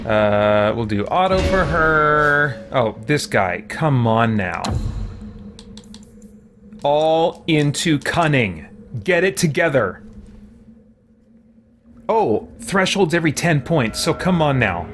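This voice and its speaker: A man commentates casually on a video game he is playing. He mutters his way through decisions, thinking aloud. Music plays clearly behind his voice. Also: a little high, very animated, slow, long pauses, fairly bright tone. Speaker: let's play host.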